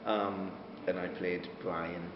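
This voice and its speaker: A man's voice: low voice, little pitch movement, takes it slowly. A man giving a casual interview as an actor, speaking in a hesitant, thoughtful way and rephrasing as he goes. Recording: dull, very noisy, echoey room recording.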